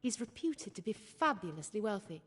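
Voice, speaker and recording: An actress playing a poised, worldly mystery woman in a video game, speaking in a measured, wry and cultured way. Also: medium pitch, very animated, husky, in a large hall. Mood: measured, knowing. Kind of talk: video game dialogue acting. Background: background music.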